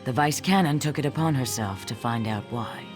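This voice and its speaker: An actor playing a dutiful male soldier in a fantasy video game, reporting in a formal, measured way. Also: medium pitch, over music, lightly acted. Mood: sober.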